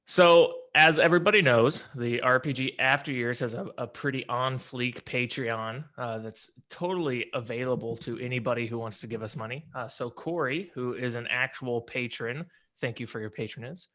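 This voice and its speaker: A man's voice: mid-range voice, moderate pace, few pauses, sharp tone. A man with a slight lisp hosting a podcast, chatting casually about games. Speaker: podcast host. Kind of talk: casual gaming podcast chat.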